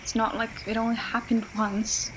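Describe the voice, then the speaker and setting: A woman's voice: on the high side, flat delivery, normal speed, close to an everyday voice. A young woman playing a stubborn, regretful survivor who confides reflectively in an audio drama monologue. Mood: wry, wistful. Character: regretful, stubborn young woman missing someone she lost. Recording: clearly noisy, ambient background noise.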